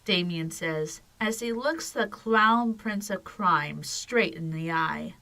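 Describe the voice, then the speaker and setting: A woman's voice: on the low side, slow, pushed and bright. A woman narrator reading scripted storytelling for an audio drama.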